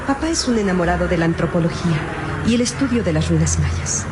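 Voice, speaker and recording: An actress as an earnest, low-voiced young woman. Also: expressive, fast talker, natural voice. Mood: earnest, admiring. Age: young adult. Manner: earnest, articulate, formal. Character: earnest young woman, an explorer's devoted daughter. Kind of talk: old radio serial acting. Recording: noisy old radio serial, vehicle drone.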